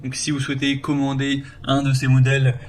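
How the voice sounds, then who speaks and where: A man's voice: medium pitch, monotone, fairly quick. A man presenting a product comparison in a casual, informal explanatory voice-over. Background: background music.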